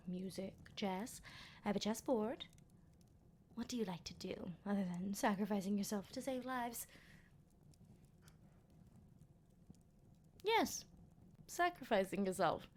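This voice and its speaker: A woman's voice: mid-range voice, animated, a little slow, darkish tone. A woman voicing a teasing, menacing vampire captor in an intimate, whispery ASMR roleplay, with a leisurely and playfully taunting delivery.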